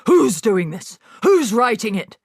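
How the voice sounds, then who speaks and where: A woman voicing a bullying female headmistress who shouts insults at a pupil. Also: on the high side, animated, normal speed, no echo, studio quality, voice pushed up. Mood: furious, bullying.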